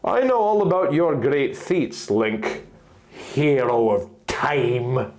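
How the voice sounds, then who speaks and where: A man voicing a noble male griffin high lord who speaks in a formal, stately manner. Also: expressive, takes it slowly, darkish tone. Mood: solemn.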